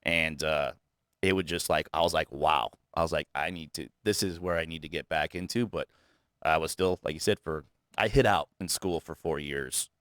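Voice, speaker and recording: A man with a very low, deep voice speaks candidly in an interview. His talk comes in halting bursts, full of restarts and repeated words, earnest and self-critical. The sound is dry and close, with no room around it. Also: a bit bright, no echo. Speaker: guest, realtor.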